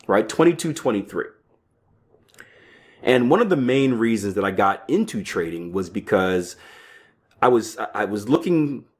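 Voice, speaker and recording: A man in his early thirties hosting a podcast, talking casually with frequent "right?" tags and restarts. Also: fairly low pitch. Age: 30s. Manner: conversational, keeps tagging lines with "right?"; stumbling restarts and fillers, offhand asides. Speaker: podcast host. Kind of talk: casual solo talk on trading psychology.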